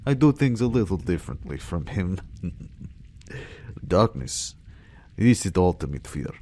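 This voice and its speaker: An actor playing a cold, mocking torturer in a radio drama, taunting and full of menace. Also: male voice, sits low, very animated, measured pace, warm tone, exaggerated voice. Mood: sadistic taunting. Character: sadistic male torturer villain, cold and mocking.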